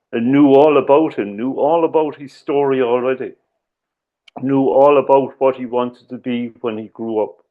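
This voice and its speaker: A man with an Irish accent giving a talk as a slow, measured storyteller, often pausing on "uh" and "um". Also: mid-range voice, narrow, tinny audio. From Ireland. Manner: measured, with frequent "uh" and "um". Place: lives in the UK.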